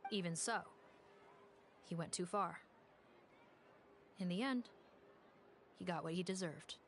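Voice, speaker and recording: Game officer: serious, composed, lowish female voice, formal and courteous, light background noise. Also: slow. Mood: grave. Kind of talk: video game voice acting.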